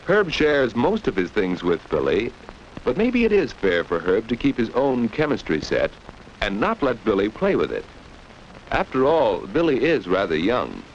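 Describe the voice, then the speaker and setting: A man narrates a children's educational film in 1940s style, with a medium-pitched voice that rises and falls in a lively way. He teaches like a storyteller, often turning to the young audience with questions. The old soundtrack is dull, with a faint layer of noise. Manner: didactic, addresses young listeners with rhetorical questions.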